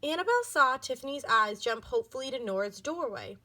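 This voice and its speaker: A woman reading a children's book aloud as an expressive storybook narrator. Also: high voice, brisk.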